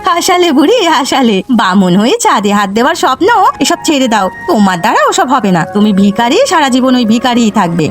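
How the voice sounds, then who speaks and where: A woman voicing a haughty, spiteful younger village woman in a cartoon, scornful and sharp-tongued. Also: fairly high pitch, big pitch swings, quick pace, hardly any pauses. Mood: contemptuous. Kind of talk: Bengali cartoon voice acting. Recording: music behind the voice.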